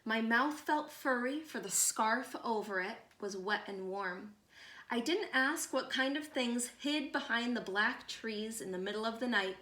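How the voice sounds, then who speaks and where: A woman reads a picture book aloud to children in a slightly high voice. Her delivery is slow, expressive and measured, with deliberate pauses. The room adds a noticeable echo. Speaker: read-aloud storyteller.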